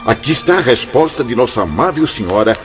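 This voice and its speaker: A man as a benevolent, expressive spiritual elder brother. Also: medium pitch, a little fast, lightly acted. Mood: solemn announcement. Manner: formal, ceremonious, benevolent. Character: benevolent male spirit director, solemn and devout. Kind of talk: radio drama acting. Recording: muffled lo-fi audio with music. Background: background music.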